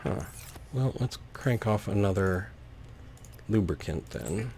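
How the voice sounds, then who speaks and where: Slow, low-pitched man commenting on gameplay. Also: animated, creaky, a little mellow, slight background noise. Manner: narrates own actions step by step, easygoing. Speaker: let's play host.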